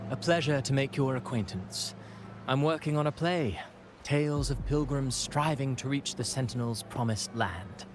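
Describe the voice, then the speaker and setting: An actor playing a courteous playwright with a formal, polished manner. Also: male voice, mid-range voice, normal speed, background noise, lightly acted.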